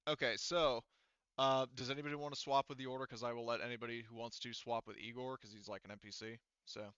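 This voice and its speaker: A man's voice: mid-range voice, flat delivery, fast talker, fairly bright tone, clear, resonant tone, no echo. A man running a tabletop roleplaying session as game master, talking informally. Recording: slightly dull, dry close recording.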